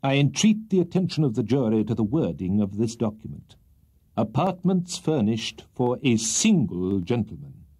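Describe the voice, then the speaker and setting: A man's voice: mid-range voice, big pitch swings, normal speed, mellow, husky. A man voicing a pompous, bombastic barrister haranguing a jury with theatrical indignation in an audiobook of a Victorian comic novel. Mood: solemn, pleading. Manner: theatrical oratory, indignant and swelling.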